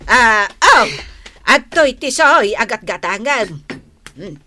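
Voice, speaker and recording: A woman's voice: on the high side, big pitch swings, slow pace, bright, forceful tone, slight background noise, exaggerated voice. A woman playing a bossy, exasperated shopkeeper auntie in an Ilocano radio comedy, fussy and exclamatory, with an Ilocano accent. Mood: flustered scolding. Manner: drawling, fussy, shushing, exclamatory.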